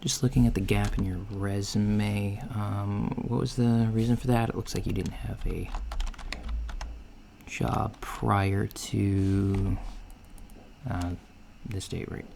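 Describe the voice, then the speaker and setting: A man playing a laid-back alien job interviewer in a soft-spoken ASMR roleplay, hesitant and matter-of-fact, with keyboard typing behind him. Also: fairly low pitch, steady tone, takes it slowly, gravelly. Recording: faint noise, lo-fi ASMR, keyboard sounds. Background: computer keyboard typing and clicks.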